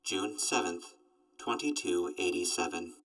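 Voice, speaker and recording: Slow, low robot announcer. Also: male voice, distant mic, over loud music, rough recording. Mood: flat, mechanical. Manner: stilted, mechanical, formal announcements. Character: emotionless robot giving a weather forecast. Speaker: robot companion reading forecasts. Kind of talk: post-apocalyptic audio drama.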